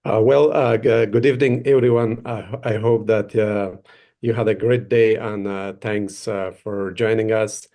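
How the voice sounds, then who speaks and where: Male guest speaker, Afghan accent, fairly low pitch, formal and halting delivery of a personal account. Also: level tone, dark tone. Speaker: case manager and former interpreter, guest speaker. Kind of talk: prepared personal story at a webinar.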